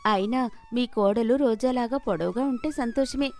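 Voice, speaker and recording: Casting: a woman playing a young village bride, composed and quick-witted, fairly high voice, cartoon moral tale. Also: darkish tone, faint music behind, lightly acted. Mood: calmly witty. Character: calm, witty, dutiful young daughter-in-law. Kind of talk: animated moral story character voice.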